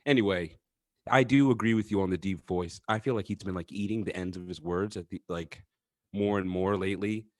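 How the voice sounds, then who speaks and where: Lower-pitched man, jokey podcast banter. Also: fairly quick, no room sound, clean studio sound. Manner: jokey, laughs often. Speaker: podcast co-host. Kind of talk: casual chat.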